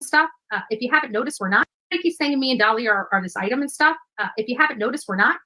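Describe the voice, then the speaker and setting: A woman's voice: on the high side, lively intonation, fast talker, pushed and bright, rough recording. A woman talking casually in a clip, blunt and emphatic, and swearing freely. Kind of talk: casual talk in a played clip.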